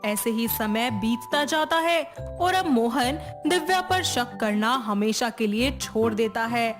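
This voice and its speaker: A woman narrator with a fairly high voice tells a moral story. Her delivery is slow and scripted, with clear music playing behind her.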